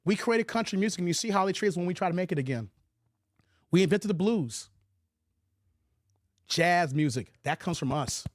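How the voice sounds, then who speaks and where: A man's voice: sits high, animated, moderate pace, pauses often, dry recording, studio quality. An American man giving opinionated livestream commentary, emphatic, repeating his points and posing rhetorical questions. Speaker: commentator hosting his own channel.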